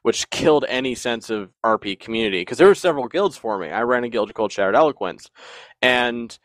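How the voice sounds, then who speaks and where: A man's voice: medium pitch, a little fast, no room sound. A man in casual podcast chat, telling long rambling stories with frequent laughs. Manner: rambling, animated storyteller who often laughs.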